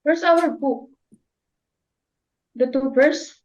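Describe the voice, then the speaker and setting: A young woman reading the part of a sensible young daughter who calmly offers her mother solutions. The voice is high and earnest. The recording is dull and lo-fi. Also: extremely slow. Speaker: student actor. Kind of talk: amateur dramatic reading.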